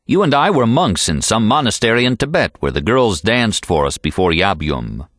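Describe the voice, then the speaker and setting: A man's voice: on the low side, sing-song, normal speed, fairly bright tone, no room sound, studio quality. A man voicing an earnest, pondering American friend in an audiobook, serious and musing. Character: earnest, pondering young male Buddhist friend.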